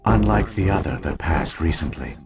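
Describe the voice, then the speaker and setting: A man playing an ancient alien virtual intelligence in a sci-fi game, speaking in a formal, measured, grave and weary way. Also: low voice, lively intonation, darkish tone, faint music behind. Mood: solemn.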